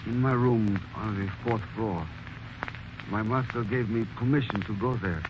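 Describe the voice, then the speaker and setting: A man's voice: fairly low pitch, fairly even intonation, a little slow, close to an everyday voice. A man playing a humble, formal old-time radio servant. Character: soft-spoken deferential male servant. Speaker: actor. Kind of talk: 1940s radio acting. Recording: dull old radio recording with hiss.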